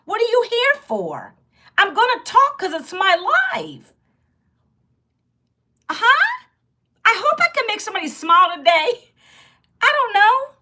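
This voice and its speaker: Bright-voiced chatty woman in her fifties. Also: very high voice, wildly swooping pitch, a little slow, long pauses. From Virginia, United States. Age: 50s. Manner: chatty and direct, greets and addresses viewers often. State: sore back, fearing loss of voice. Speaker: livestream host. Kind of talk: casual livestream chat to viewers.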